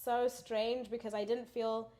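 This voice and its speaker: A woman's voice: a little high, unhurried. A young woman from South Africa with a South African accent, chatting as an interview guest with lots of filler words. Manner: chatty, many "like" fillers, lively and animated. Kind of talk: casual interview chat.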